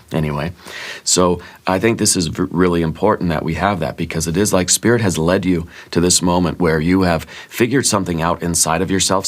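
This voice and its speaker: A man giving a soft-spoken ASMR tarot reading in a musing, gently reassuring way, a little congested with a sore throat. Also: deep voice. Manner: musing, gently reassuring, thinks aloud with fillers. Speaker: tarot reader.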